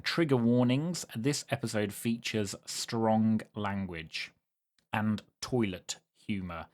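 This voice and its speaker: A man hosting and judging a tabletop roleplaying game in a chatty, jokey, self-deprecating way. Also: mid-range voice. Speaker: host and game master.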